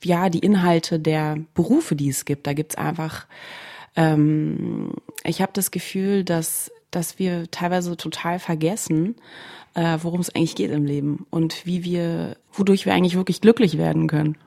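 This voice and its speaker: Creaky, lowish-voiced woman from Germany. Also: fairly even intonation, normal speed, warm tone. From Berlin, Germany. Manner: thoughtful, searching for words. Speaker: musician being interviewed. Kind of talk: reflective conversational interview.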